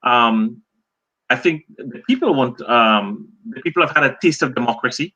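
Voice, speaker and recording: A middle-aged Trinidadian man, a journalist and author, answering interview questions as a lively storyteller. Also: mid-range voice, moderate pace, dull audio. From Trinidad and Tobago.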